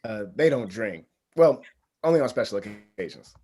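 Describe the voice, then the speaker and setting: A man's voice: on the low side, expressive, moderate pace, dark tone. A man playing an uneasy, wry young groom in a comedy table read, hesitant and understated. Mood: uneasy, polite.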